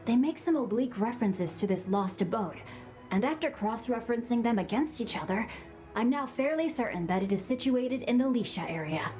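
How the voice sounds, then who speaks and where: A woman's voice: fairly high pitch, lively intonation, a little fast, darkish tone, faint music behind, lightly acted. A woman voicing a refined, witty young nobleman in a game, speaking in a courteous, formal, composed manner. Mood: calm. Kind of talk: game dialogue.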